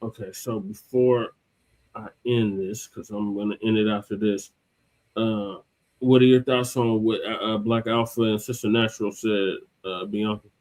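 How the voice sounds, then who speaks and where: Laid-back man host with a low voice. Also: steady tone, normal speed, darkish tone, low-quality recording. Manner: laid-back, agreeing, hesitant fillers. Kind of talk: live-stream hosting.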